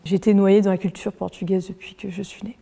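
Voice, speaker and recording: A woman in her twenties talking casually in an interview, hesitant, with many pauses and fillers. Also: medium pitch, flat delivery, creaky, warm tone, breathy edge, big-room sound. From France. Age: 20s. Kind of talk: casual conversation.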